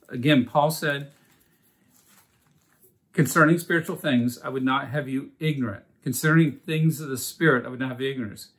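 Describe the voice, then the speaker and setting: An earnest man leading a Bible study at a measured pace. His voice sits at an ordinary middle pitch as he reads scripture aloud and explains it. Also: fairly bright tone. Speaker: Bible teacher.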